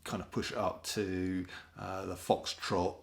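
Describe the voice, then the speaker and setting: British male monologue host, sardonic and rambling. Very unhurried delivery with plenty of vocal fry. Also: low-pitched, little pitch movement. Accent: British English. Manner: rambling, sardonic, often mimics other people in put-on voices. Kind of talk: casual spoken commentary.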